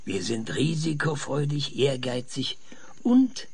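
An actor playing an older, insistent professor with a raspy voice in a German radio drama, explaining things emphatically and dramatically. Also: male voice, mid-range voice, slow, a little mellow. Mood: musing, insistent. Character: frail, excitable old male professor, insistent and visionary. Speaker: professor being interviewed.

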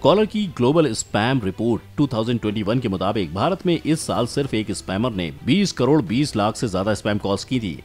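A man from India reading a scripted explainer as narrator, speaking emphatically and straight to the listener. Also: mid-range voice. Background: background music.

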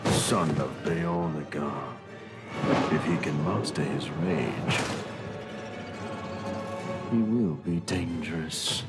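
An actor playing a gloating, scheming villain who covets a magic shard, in a game cutscene. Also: male voice, rumbling low, drawn-out words, pauses often, exaggerated voice. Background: loud orchestral music.

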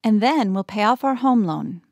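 An American actress playing a warm, delighted middle-aged mother in a family radio drama. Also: mid-range voice, animated, moderate pace, no room sound, clean studio sound. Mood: pleased.

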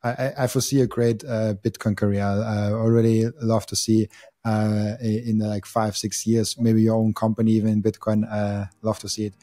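A man's voice: on the low side, flat delivery, moderate pace, mellow, dry recording, studio quality. A young man, hosting a podcast interview and hesitating often, with many fillers and restarts. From Austria. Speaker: podcast host. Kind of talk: casual interview. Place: home studio. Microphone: boom mic on arm.

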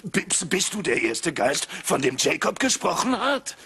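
An actor playing a grumpy, stubborn, fearful old miser in a dubbed cartoon, querulous and theatrical. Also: male voice, a little high, big pitch swings, measured pace, husky, full character voice. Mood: wary, grumbling. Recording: loud film music behind.

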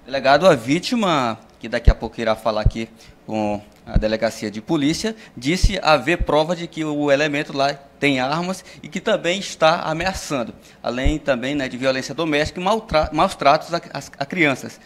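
A man's voice: fairly high pitch, normal speed, a bit bright. A man in his thirties with a northern Brazilian accent, reporting outdoors into a handheld mic in a formal way, with some restarts. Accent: Brazilian Portuguese, northern Pará. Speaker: field reporter. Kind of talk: news report on location. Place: outdoors beside a police truck.

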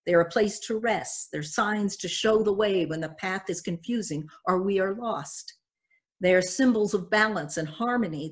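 Measured older woman preaching from a pulpit. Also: mid-range voice. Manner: measured, reflective, reading from notes. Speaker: pastor. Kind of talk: sermon. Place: church sanctuary at a pulpit.

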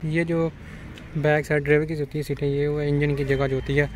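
A man's voice: fairly high pitch, level tone. A young man in a salesman's patter, showing viewers around a used van for sale outdoors while filming on a handheld phone. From Pakistan-administered Kashmir. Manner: brisk salesman patter, addresses viewers directly. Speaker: used car dealer vlogger. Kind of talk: walkaround vehicle review. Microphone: handheld phone camera at arm's length. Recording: noisy outdoor phone recording.

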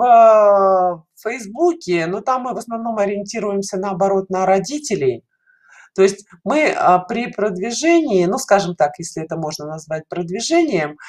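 Middle-aged woman for interview answers on a headset call; mid-range pitch, explanatory, pausing often. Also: a little mellow. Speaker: caller to an online show, head of a children's animation studio. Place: small dim room indoors, video call. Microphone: headset on a video call.

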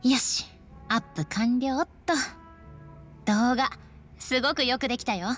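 An actress playing a brisk, confident young woman in a video game, casual and a little bossy. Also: on the high side, soft background music, lightly acted. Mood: casual, curious. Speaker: game character actor. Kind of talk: Japanese video game voice acting.

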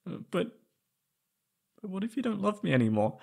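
A young man playing an anguished, lovesick former captive in an intimate roleplay audio, halting and pleading. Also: high-pitched, big pitch swings, vocal fry, darkish tone, pitched above the performer's own voice, full character voice. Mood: pleading, confessing. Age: young adult.

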